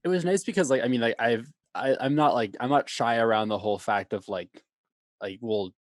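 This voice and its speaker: A man's voice: mid-range voice, a little fast, no echo, clean studio sound. A man with a stutter, talking casually as a podcast guest, filling his speech with "like" and swearing. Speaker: podcast guest, band vocalist. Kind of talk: casual chat.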